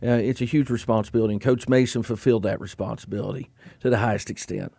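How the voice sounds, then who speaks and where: A man who is a high school principal, chatting in a casual and encouraging way as he interviews students on a radio show in a studio. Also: fairly even intonation, quick pace, creaky, mellow.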